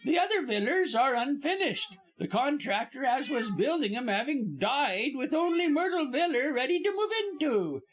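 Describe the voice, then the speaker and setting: A man's voice: extremely high pitch, lively intonation, normal speed, few pauses, a bit bright, pitched above the performer's own voice. A man voicing an indignant, gossipy older Cockney servant woman, wordy and emphatic, dropping aitches, in an audiobook story.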